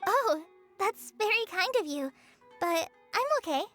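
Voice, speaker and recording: Very high, earnest, troubled girl. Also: expressive, a bit bright, full character voice. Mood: hesitant. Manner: hesitant, halting, earnest. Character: earnest, troubled, soft-hearted young girl in fantasy game. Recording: game dialogue over background music.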